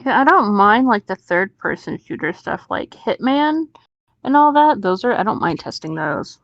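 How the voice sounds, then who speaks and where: A woman with an average-pitched voice chats casually in a dry, close recording. She is blunt and sweary, talking as one co-host among friends. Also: animated, normal speed, creaky, dry recording, muffled recording. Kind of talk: casual group chat.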